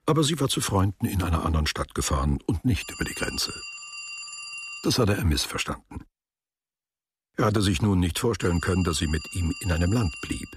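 Deep-voiced male literary narrator. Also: lively intonation, fairly quick, noisy background. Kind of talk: literary scripted narration for radio drama.

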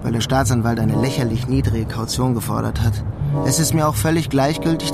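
A man playing a bitter New York criminal who narrates a crime radio play in a matter-of-fact, deliberate way. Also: medium pitch, close to an everyday voice. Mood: cold, bitter. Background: music behind the voice.

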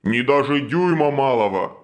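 A man voicing a menacing nightmare monster in a storybook, commanding in archaic, deliberate speech. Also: mid-range voice, expressive, lightly acted. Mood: snarling threat. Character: menacing nightmare monster taunting a knight and wizard.

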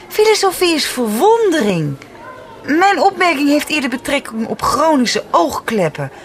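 An actress playing a guarded woman fresh from a breakup, hesitant and at times sharp, in a naturalistic radio drama. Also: sits high, slight background noise, full character voice. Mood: sharp, teasing.

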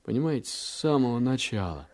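Polite detective in a radio drama, mellow voice, lots of rise and fall, tactful. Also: male voice, medium pitch, sing-song, brisk. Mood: calm, serious. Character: courteous, tactful Moscow police detective. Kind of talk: naturalistic radio play acting.

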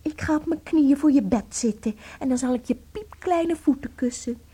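A woman acting in a radio play as an adoring, excitable wife, cooing and theatrical. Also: high voice, normal speed, close to an everyday voice. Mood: awed, adoring. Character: adoring, gushing wife, tender and excitable, babying her tiny husband.

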